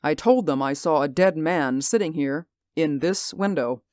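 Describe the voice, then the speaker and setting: An actor playing a bitter, vengeful middle-aged stage actor in an old radio drama, theatrical and gloating, dropping into quiet menace. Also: male voice, on the high side, measured pace, dry recording, studio quality, close to an everyday voice. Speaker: villain. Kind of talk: 1940s radio acting.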